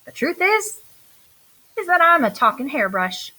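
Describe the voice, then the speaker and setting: A playful little prickly male creature from a children's storybook, acted out by a woman. He is high and bright, full of boastful bluffs delivered with theatrical flair and big swings of pitch. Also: big pitch swings, unhurried, heavily acted, poor audio. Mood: sly bluffing.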